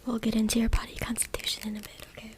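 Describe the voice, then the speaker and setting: Whispering woman, even-toned ASMR reading. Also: fairly high pitch. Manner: soft, hushed, deliberate whispering with repeated words. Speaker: ASMR creator reading from a book. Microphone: close USB microphone. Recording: noisy close USB mic with clicking. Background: noise, tapping and clicking sounds.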